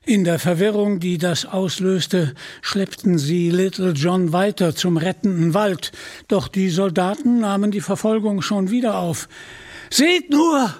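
Ein Mann erzählt als Sprecher eine dramatische Geschichte vom Manuskript. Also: male voice, high-pitched, a little slow, a little mellow. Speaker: narrator. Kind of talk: dramatic scripted story narration.

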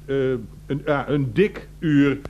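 An actor playing a pedantic, officious male committee examiner in a satirical radio play, halting and repetitive. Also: a little high, a little mellow, highs cut off, slight background noise. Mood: stern, pedantic. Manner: halting, officious, repeats words.

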